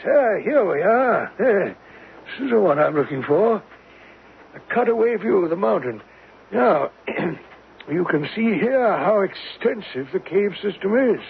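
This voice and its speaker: An actor playing a scholarly older professor in a 1970s radio drama, speaking with a hesitant, deliberate manner. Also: male voice, high voice, gravelly, exaggerated voice. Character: scholarly older male professor, absent-minded yet eager. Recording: noisy, tinny old radio broadcast.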